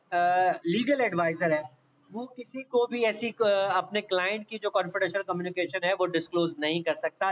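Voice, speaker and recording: A man's voice: sits high, a little hiss or hum. A man with a Pakistani accent giving an instructional exam-prep law lecture, mixing in English legal terms and often checking that listeners follow. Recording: dull, lo-fi sound.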